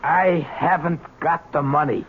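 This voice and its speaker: A man's voice: a little high, fairly even intonation, measured pace, close to an everyday voice. A man acts out an old American jailbird in a vintage radio play, blunt and world-weary. His talk is slangy and stubborn. The sound is muffled, with a faint hiss behind him. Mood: defensive, stubborn. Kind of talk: 1970s radio drama acting.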